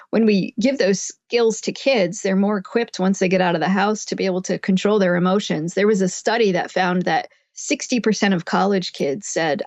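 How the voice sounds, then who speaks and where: A woman speaks as an expert guest in an interview, at a middling pitch. She explains in a conversational way, reaching for everyday examples. The sound is dry and a little dull, like a lo-fi call. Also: no room sound. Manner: explanatory, uses everyday examples and imagined quotes. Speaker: guest expert, psychotherapist and author.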